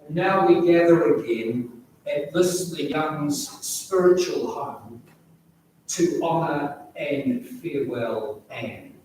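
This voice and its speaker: A retired minister leads a funeral service in a large church, speaking loudly without a mic in a measured way with frequent ums. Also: male voice, a little high, lively intonation, a little hiss or hum. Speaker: funeral officiant. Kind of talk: funeral address. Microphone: distant recorder, no amplification. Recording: echoey church recording from far off.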